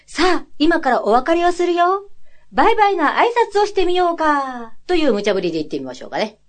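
A woman's voice: sits high, very animated, fast. A woman hosting a radio show in a chatty, playful and giggly way.

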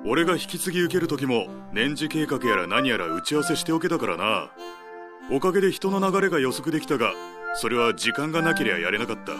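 A man playing a calm, plain-spoken, level-headed male colleague in a radio drama. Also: mid-range voice, quick pace, lightly acted. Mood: approving. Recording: music under the voice.

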